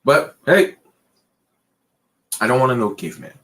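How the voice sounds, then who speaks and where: A man co-hosting a casual late-night chat show, talking in a loose, rambling way and pushing his pitch up and down for emphasis. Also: big pitch swings, normal speed, background noise. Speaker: podcast co-host.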